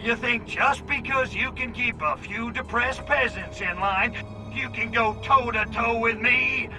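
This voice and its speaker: An actor playing a crude, cocky alien invader in a video game, brash and full of sarcastic wisecracks. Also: male voice, extremely high pitch, unhurried, music in the background, rough recording. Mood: angry boasting. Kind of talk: video game cutscene acting.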